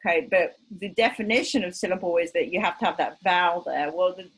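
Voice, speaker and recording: Woman, Estuary English accent, slightly low pitch; casual, self-mocking lecturer on a video call. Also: quick pace, husky, poor audio. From England. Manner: chatty, self-deprecating, with many fillers. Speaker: linguistics lecturer. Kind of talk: online lecture.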